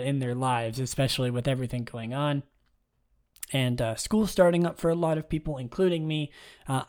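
A young man with a raspy voice hosting his own podcast, talking in a relaxed, rambling way and reading out game news. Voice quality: raspy, low tonight. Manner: relaxed and rambling, many ums and restarts. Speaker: podcast host.

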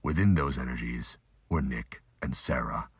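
A man's voice: rumbling low, normal speed, slightly rough, no room sound, studio quality. A man giving scripted, audiobook-style narration for an audio drama.

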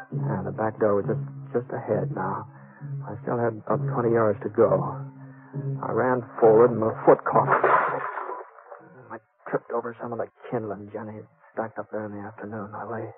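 A muffled 1950s radio western recording of a man acting a calm cowboy drifter. His voice sits fairly low, and he talks in a folksy, halting way, repeating words as he reasons things out. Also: close to an everyday voice. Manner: hesitant, folksy drawl with stammered repeats.

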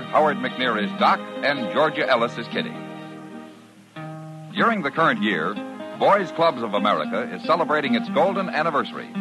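A man works as an announcer in classic 1950s radio style, reading from a script. His pitch moves in a lively way around a medium register. Music plays behind him on the vintage broadcast recording. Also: poor audio.

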